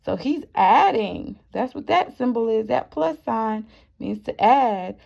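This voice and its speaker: A woman teacher reading a picture book aloud to young children, expressive and encouraging, often asking the listeners questions. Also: a little high, takes it slowly, gravelly. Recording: dull, muffled lo-fi sound.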